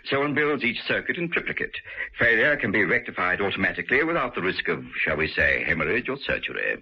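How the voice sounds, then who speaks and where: Doctor Armstrong, a gravelly villain. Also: male voice, on the low side, very animated, fast, full character voice. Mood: smooth, superior. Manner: smooth, clipped and condescending. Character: scheming wheelchair-bound male industrialist, cold and commanding. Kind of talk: radio drama acting. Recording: dull lo-fi radio broadcast.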